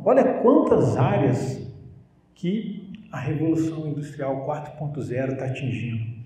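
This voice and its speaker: A man's voice: on the high side, a little hiss or hum. A middle-aged Brazilian man with a Brazilian Portuguese accent gives a conversational university lecture on stage, often tagging sentences with "né?" and "tá?". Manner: conversational, animated, frequent "né?" and "tá?" tags. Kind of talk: lecture to a live audience. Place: large university auditorium stage. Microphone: handheld mic, recorded by a far camera. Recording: roomy auditorium reverb, distant camera.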